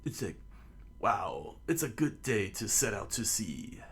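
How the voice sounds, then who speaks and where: A man acting out a bold, cheerful pirate boy from a storybook adventure. The delivery is brash and confident, declaimed in a fairly low voice. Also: very animated, a little slow, fairly bright tone, husky, heavily acted. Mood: upbeat.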